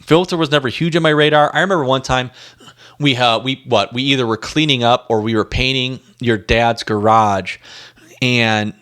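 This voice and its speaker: A man's voice: medium pitch, fairly even intonation, moderate pace, a bit bright, clear, resonant tone. A man co-hosting a music podcast, chatting casually in a blunt, rambling, slangy way. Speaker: podcast co-host.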